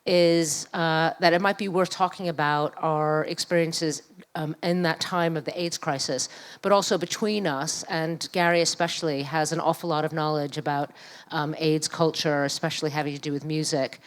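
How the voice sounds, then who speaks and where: A middle-aged woman with a New York accent speaking conversationally at a public talk, halting and thoughtful. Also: deep voice, fairly even intonation. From New York, USA. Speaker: panel speaker, academic and activist. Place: live event room at a museum.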